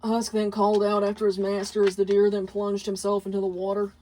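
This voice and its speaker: A woman reading fan fiction aloud as a dramatic, deliberate story narration. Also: medium pitch, completely flat delivery, warm tone, slight background noise, rough recording.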